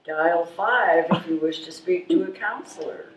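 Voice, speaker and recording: A woman's voice: fairly low pitch, unhurried, close to an everyday voice. A woman playing a part in a live readers theatre reading, reciting listings item by item. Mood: matter-of-fact. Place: live stage reading in a room. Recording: echoey, distant, faintly noisy room.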